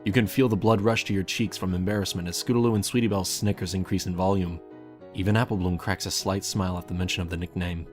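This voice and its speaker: Low-pitched man narrating a story. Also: steady tone, fast talker. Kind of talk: scripted fan fiction narration. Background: music.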